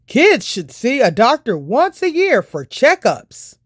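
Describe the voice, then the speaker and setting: A kindly, reassuring male doctor from a children's storybook, read by a woman. He explains things patiently and slowly, with animated intonation and a dry, close sound. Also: fairly high pitch, slightly rough, no echo, pitched above the performer's own voice, exaggerated voice. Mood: encouraging.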